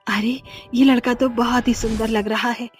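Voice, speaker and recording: A woman voicing an anxious, poor middle-aged mother with a husky voice. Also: on the high side, fairly even intonation, moderate pace, faint music behind, natural voice. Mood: worried. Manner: anxious, fretting.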